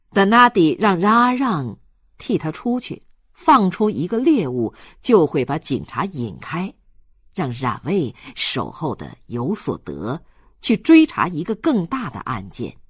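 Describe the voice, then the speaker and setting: Husky novel narrator, takes it slow, really swoopy expressive reading, dry close sound. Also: mid-range voice, dry recording. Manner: measured, expressive. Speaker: audiobook narrator. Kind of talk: scripted novel narration, slow dramatic storytelling.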